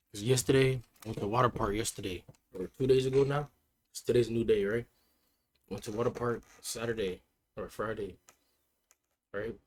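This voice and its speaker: A man livestreaming and chatting with his viewers in a laid-back, slangy way, his voice hoarse and cracking. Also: medium pitch, a little hiss or hum. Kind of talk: casual chat with stream chat.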